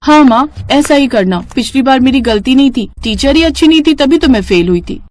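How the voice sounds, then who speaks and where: Storybook schoolgirl woman performer: bratty teen sister-in-law, whiny, cheeky, pitched up. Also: animated, a little fast, darkish tone. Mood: whining.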